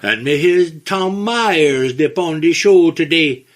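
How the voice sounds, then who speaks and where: A man's voice: a little high, expressive. A man putting on a laid-back Jamaican caller. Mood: easygoing. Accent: put-on Jamaican. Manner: slow, easygoing patois-style delivery. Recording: voicemail.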